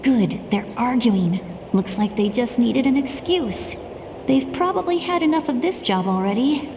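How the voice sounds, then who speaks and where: High, lively young girl from a video game. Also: a little slow, noisy background. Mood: focused, determined. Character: plucky young girl scout sneaking past guards, eager and determined. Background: game music.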